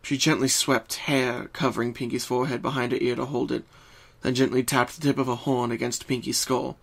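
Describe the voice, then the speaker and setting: A man's voice: medium pitch, level tone, brisk, gravelly, a bit bright. A man giving a dramatic reading of a story as its narrator.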